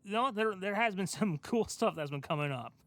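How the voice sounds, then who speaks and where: High-voiced man, casual podcast host. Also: lively intonation, normal speed, a bit bright. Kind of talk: casual chat.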